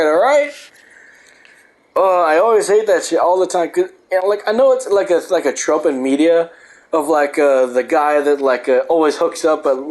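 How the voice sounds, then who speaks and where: A young man reading a book aloud and breaking off into rambling, profane rants. He says he is losing his voice. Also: high-pitched. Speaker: host.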